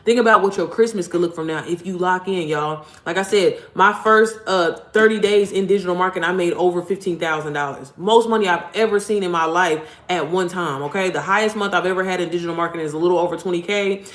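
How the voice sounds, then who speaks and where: Young woman, deep voice, rapid, blunt and profane as an online coach; echoey room. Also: fairly bright tone. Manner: blunt, profane, slangy, addresses viewers directly. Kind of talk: casual motivational vlog talk.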